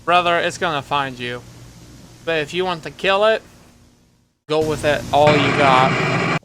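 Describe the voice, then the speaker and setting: A man's voice: on the high side, unhurried, fairly bright tone, slight background noise, poor audio. A man hosting a gaming video, giving chatty, exclamatory reactions to a horror game.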